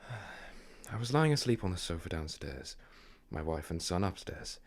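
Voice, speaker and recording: A man's voice: low-pitched, very animated, moderate pace. A man acting as an anguished, bewildered widower in an audio drama, earnest and dramatic in delivery. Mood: grieving. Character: anguished, guilt-ridden widower, emotional and bewildered.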